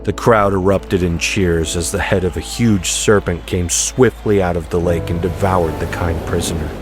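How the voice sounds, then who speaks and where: Low-voiced man narrating dramatically. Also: steady tone, moderate pace. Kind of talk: dramatic scripted audiobook narration. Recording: music behind the voice.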